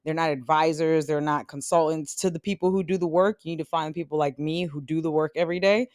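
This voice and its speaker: A sales career coach around forty gives a casual, encouraging lesson to viewers, with quick laughs and self-corrections. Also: mid-range voice, fairly even intonation, a little mellow, dry recording, studio quality. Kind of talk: casual instructional talk to viewers.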